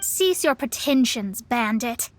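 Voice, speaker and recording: A proud young astrologist from a fantasy video game. Her voice is high and her manner is haughty, self-assured and theatrical. There is some noise behind the dialogue. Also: animated, slow. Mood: curt, accusing. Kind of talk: video game character acting.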